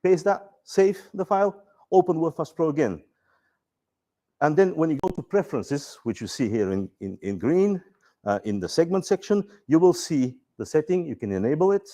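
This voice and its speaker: A man with a Bulgarian accent giving a conference presentation on software features, relaxed and dryly humorous, with frequent fillers and restarts. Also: on the high side, normal speed.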